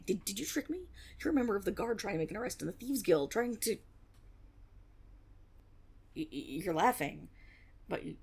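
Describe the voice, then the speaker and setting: A woman's voice: on the high side, a little mellow, breathy edge, exaggerated voice. A woman playing a flustered young tiefling rogue in a fantasy audio roleplay, stammering and blustering, cocky on the surface but unsure underneath. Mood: alarmed, indignant. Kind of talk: fantasy roleplay audio drama, one-sided dialogue.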